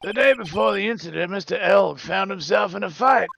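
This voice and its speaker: A man voicing a smug, cryptic prosecutor in an amateur courtroom game dub, with teasing, drawn-out phrasing. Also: high-pitched, creaky, fairly bright tone, slight background noise. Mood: dry, knowing. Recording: game music faintly behind voice.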